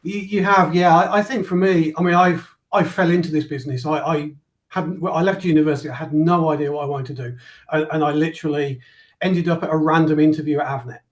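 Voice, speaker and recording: A man's voice: a little high, fairly even intonation, fast talker. A man answering interview questions as a company founder, modest and hesitant, often repeating words as he thinks. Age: 50s.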